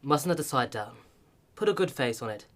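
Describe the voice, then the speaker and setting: An actor playing a stiff-upper-lip Edwardian chief constable with a British accent, brisk and controlled, in a radio play. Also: male voice, mid-range voice, animated, lightly acted. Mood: firm, businesslike.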